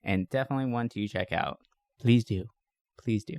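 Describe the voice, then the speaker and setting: A man's voice: lowish voice, moderate pace, gravelly, a little mellow, dry recording, clean studio sound. A man hosting a podcast in a casual, jokey, enthusiastic and chatty style. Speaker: podcast host.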